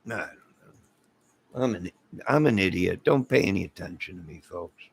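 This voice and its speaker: A middle-aged man, hoarse from singing, rambling casually to viewers on a late-night livestream and greeting them one by one. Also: sits low, animated, normal speed. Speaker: livestream host.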